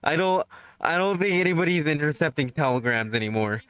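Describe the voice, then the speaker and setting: A man's voice: fairly high pitch, animated, fairly quick, a bit bright, smooth, dry recording, muffled recording. A man in a casual online voice chat who laughs often and patiently explains things to the others. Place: online voice chat in a social VR game.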